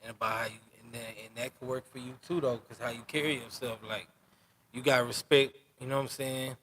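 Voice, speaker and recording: A man's voice: medium pitch, steady tone, brisk, a bit bright, breathy edge. A man in his twenties hosting a podcast, talking loosely in street slang and often asking "you know what I'm saying". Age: 20s. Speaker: podcast host. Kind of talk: casual interview.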